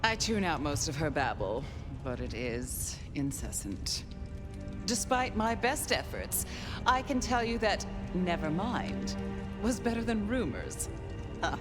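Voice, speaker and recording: An actress playing a haughty, languid ancient vampire aristocrat in a video game, with a theatrical, condescending drawl. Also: female voice, on the low side, very animated, a little slow, over music. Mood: fussy, haughty. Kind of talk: dramatic video game acting.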